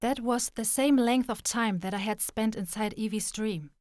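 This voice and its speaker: A woman playing an earnest, expressive young female trainer in an anime-style manga dub. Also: a little high, moderate pace, no echo, studio quality, natural voice. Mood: reflective. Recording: music under the voice. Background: background music.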